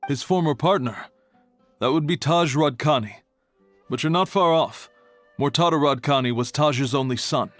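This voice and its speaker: A man playing a discreet, solemn academy archivist in an anime-style game, explaining things in a grave, measured way. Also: fairly high pitch, fairly bright tone, soft background music, natural voice. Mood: informative.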